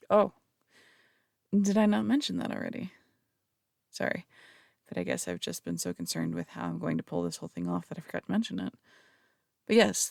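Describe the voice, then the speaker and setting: A woman's voice: on the low side, gravelly, darkish tone, no echo, clean studio sound, exaggerated voice. A woman playing a flirtatious, theatrical female phantom thief in an intimate audio roleplay, coaxing and teasing, with slight stammers. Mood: earnest, tender. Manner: coaxing, teasing, hesitant stammers between polished phrases. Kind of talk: intimate audio roleplay, acted monologue to listener.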